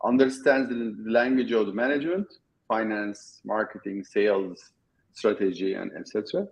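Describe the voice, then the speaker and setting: A middle-aged man with a Turkish accent, a guest in an interview, talking in a halting way with many restarts. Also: fairly low pitch, fairly even intonation, rough recording. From Turkey. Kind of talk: casual interview talk.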